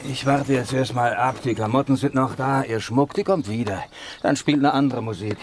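A man's voice: medium pitch, normal speed, a little hiss or hum, close to an everyday voice. A man playing a hard, dismissive businessman in a German radio crime drama, blunt and cold. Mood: grimly confident.

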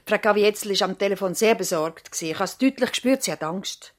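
A woman's voice: mid-range voice, fast talker, natural voice. A woman playing a sensible, composed doctor's nurse in a radio drama, matter-of-fact, with a Swiss accent. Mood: calm, concerned. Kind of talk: German-language radio drama acting.

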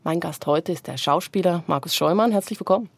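A woman's voice: fairly low pitch, fairly quick, no echo. A woman from Basel in Switzerland hosting a conversational radio interview as the interviewer.

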